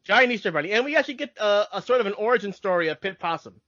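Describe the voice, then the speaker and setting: High-voiced man co-hosting a podcast. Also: expressive, rapid-fire, pushed and bright. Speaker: podcast co-host. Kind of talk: casual explanatory chat. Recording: dull lo-fi audio.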